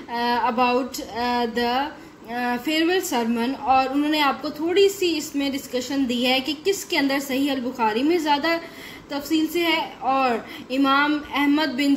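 High-voiced Pakistani woman teaching slowly. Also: a bit bright, a little hiss or hum, low-quality recording. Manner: reads English text slowly, then explains in Urdu; addresses students directly, often saying "okay". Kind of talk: recorded classroom lesson, reading and explaining.

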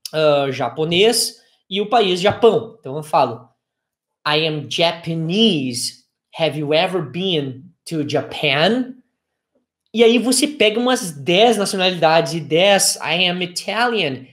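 A man in his forties with a Brazilian accent, teaching informally as a language teacher, explaining step by step in a conversational way. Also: high-pitched. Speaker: English teacher. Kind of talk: informal video lesson.

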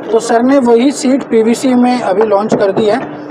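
A man's voice: very high-pitched, fairly even intonation, unhurried, background noise. A man interviewing an exhibitor in casual, polite Hindi-style chat, often summing up with "sir", recorded at a busy trade show. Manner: chatty, polite, sums up points with "sir". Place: busy indoor exhibition hall. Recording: crowd chatter behind.

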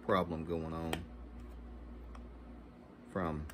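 A man's voice: extremely low pitch, flat delivery, drawn-out words. A middle-aged man hosting a vlog, giving casual, plainspoken advice to camera in short clipped phrases. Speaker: video host. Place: indoors, unfinished workshop or basement. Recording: noisy room sound.

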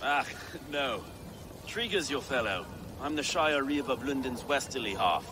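An actor playing an earnest, courteous medieval English reeve in a video game, with old-fashioned polite phrasing. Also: male voice, mid-range voice, expressive, unhurried, background noise, lightly acted. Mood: genial, brisk. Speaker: sheriff. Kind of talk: dramatic video game cutscene acting.

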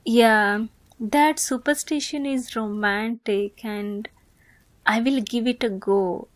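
A woman playing a teasing, affectionate young woman in love in an ASMR romance roleplay, speaking softly and playfully, at times breathily. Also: a little high, slow pace, dry recording. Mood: tender, shy.

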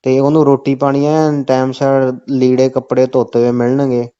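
A man's voice: medium pitch, little pitch movement, moderate pace, dark tone, clear, resonant tone. A man with a Punjabi accent making a comic phone call, talking insistently and falling back on the same stock phrases. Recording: dull, lo-fi phone call recording.

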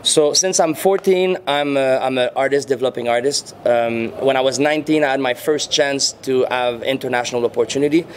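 Québécois man, unhurried interview answers. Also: mid-range voice, fairly even intonation, a little hiss or hum. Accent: Quebec French. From French-speaking Canada. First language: French. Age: 30s. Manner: unhurried, searching for words, frequent fillers. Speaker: interviewee, muralist and rapper. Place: indoor venue before a painted backdrop. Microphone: handheld mics held near the chin.

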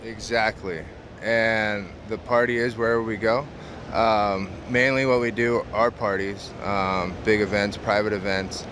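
Pizza business owner, 30s, male, low-ish voice; relaxed, filler-heavy answers; close camera in a noisy expo hall. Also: unhurried. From San Diego, California. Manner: relaxed, frequent "um" fillers, earnest. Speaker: mobile pizza business owner, interview guest. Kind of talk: casual interview answers. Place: large indoor trade show hall. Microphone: camera close to face.

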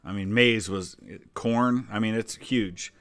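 A man's voice: on the low side, takes it slowly, fairly bright tone, smooth, no room sound. An American man in his forties hosting a history channel with casual, conversational reaction commentary and explanatory asides. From United States.

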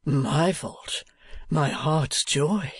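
Foppish storybook husband acted by a narrator: languid, very slow, affected, gushing, dry close recording. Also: medium pitch, slightly rough, no room sound, full character voice. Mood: gushing, wounded. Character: foppish, idle, gushingly amorous husband, a dandy.

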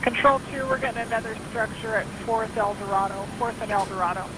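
A woman working as a fire dispatcher, making brisk procedural calls over a radio channel. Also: fairly high pitch, little pitch movement, very noisy.

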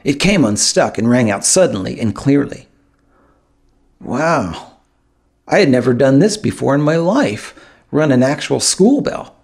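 A man narrating a first-person audiobook in an expressive storytelling manner, with dramatic pauses. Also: medium pitch, vocal fry, slightly rough. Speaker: author narrating his own audiobook.

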